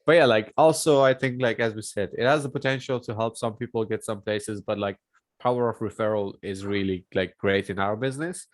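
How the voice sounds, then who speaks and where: Man chatting haltingly, dry close sound. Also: fairly low pitch, no echo. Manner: halting, searching for words. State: lingering cough. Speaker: podcast guest and personal trainer. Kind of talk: casual podcast chat.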